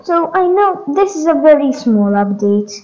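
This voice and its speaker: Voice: high-pitched, sing-song, normal speed, a little mellow. A gaming host giving a casual walkthrough of game updates, hesitant and thinking aloud, on a dull lo-fi microphone.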